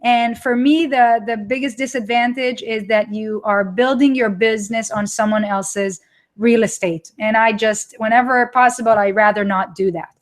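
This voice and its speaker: A woman's voice: a little high, steady tone, moderate pace. A woman with an Israeli accent hosting a live webinar, chatting with viewers as she presents her product, with frequent restarts. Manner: chatty, reads live chat and reacts to viewers.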